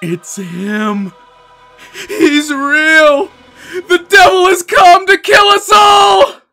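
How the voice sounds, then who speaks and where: A man's voice: very high voice, extremely slow, pushed and bright, shouting, faint music behind, voice pushed up, heavily acted. A man voicing a terrified, wounded marine in an audio drama, pleading desperately in shrieks. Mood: hysterical terror.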